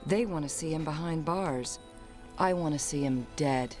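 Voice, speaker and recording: An actress playing a poised, vengeful young heiress in a video game, cool and dry in delivery. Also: female voice, deep voice, moderate pace, darkish tone, faint music behind, lightly acted. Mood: cold, determined. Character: poised, sardonic, vengeful young heiress, murdered man's daughter. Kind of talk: video game cutscene acting.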